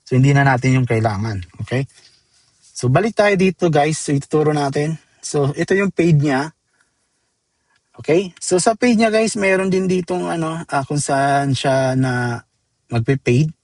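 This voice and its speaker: A Filipino man presenting a software tutorial step by step in an informal, chatty way, mixing in English terms. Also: on the high side, animated, unhurried, dry recording. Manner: informal, addresses viewers as guys, code-switches into English terms. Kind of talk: casual screen-demo tutorial, step-by-step.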